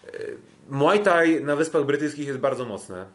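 A man hosting a podcast, giving a casual sports recap in a halting way with frequent filled pauses. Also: a little high, expressive. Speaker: podcast host.

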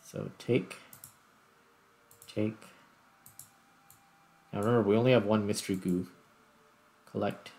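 A man's voice: lowish voice, fairly even intonation, takes it slowly, long pauses, a little mellow. A man giving casual gameplay commentary, thinking aloud as he plays. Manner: thinks aloud while playing. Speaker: gaming video host.

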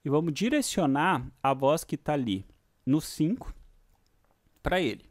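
A man with a Brazilian accent giving a casual, hands-on music software lesson in an informal, slangy way. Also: a little high, big pitch swings, a little slow, very noisy. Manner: informal, uses diminutives and slang. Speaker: music software tutorial host. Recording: electronic synth music behind voice. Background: synthesizer and vocoder demo sounds, clicks.

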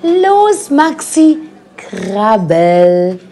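An actress playing a bossy, scheming old witch grandmother in an audio drama, husky and commanding. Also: mid-range voice, sing-song, dark tone, a faint crowd, full character voice. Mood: irritated, scheming. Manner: commanding, drawn-out, conniving. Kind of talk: German audio drama acting.

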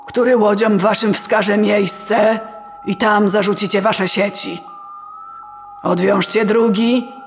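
A woman playing a stern, bearded old male river spirit in an audio fairy tale, speaking solemnly and deliberately. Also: medium pitch, creaky.

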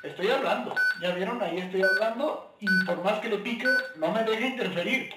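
A man's voice: high-pitched, fairly quick. A young man with a Mexican accent giving an informal tutorial, addressing viewers in a friendly, direct way. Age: young adult. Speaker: video tutorial host. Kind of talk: informal instructional explanation with practical examples. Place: small bare indoor room at a table. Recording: echoey bare room, distant microphone.

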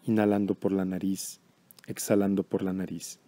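A man leading a guided meditation in a calm, measured, instructional way. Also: low voice.

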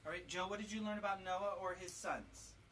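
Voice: mid-range voice, a little fast, fairly bright tone. Parent teaching from a distance. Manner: instructive, quizzing, repeats answers back. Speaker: parent leading family Bible study. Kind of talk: teaching children with questions. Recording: distant mic with light background noise.

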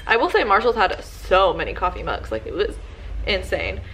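A woman's voice: lowish voice, sing-song, moderate pace, a bit bright, slight background noise, poor audio. A woman in her twenties chatting casually through a shopping haul in her living room, with lots of "like" fillers. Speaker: vlogger.

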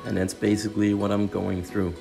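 Male narrator, young, Danish accent. Very low, creaky voice explaining things over music. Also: completely flat delivery, a little fast, warm tone. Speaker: student pilot.

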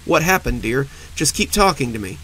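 A man's voice: medium pitch, sing-song, normal speed, pushed and bright, slight background noise, poor audio. A man voicing an anxious young husband who stammers as he questions his wife on the phone. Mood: worried. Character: worried young husband stuck in traffic.

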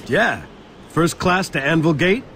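An actor playing a gruff male soldier leading a squad in a game cutscene. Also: a little high, very animated, unhurried, slight background noise, exaggerated voice. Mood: grim. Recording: game audio over loud music.

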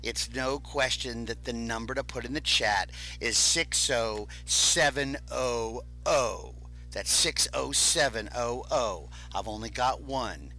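A man hosting a live toy car auction, pitching enthusiastically and telling stories about the models. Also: slow, sharp tone. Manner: enthusiastic, storytelling sales talk. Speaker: auction host.

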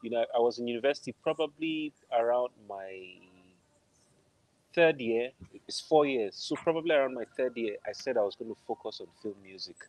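A man's voice: medium pitch, lively intonation, brisk, a little mellow, poor audio. A middle-aged man with a Nigerian accent, telling his story casually as an interview guest on a video call. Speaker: interview guest, film composer. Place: indoor room at home, video call. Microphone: wired earphones on a video call.

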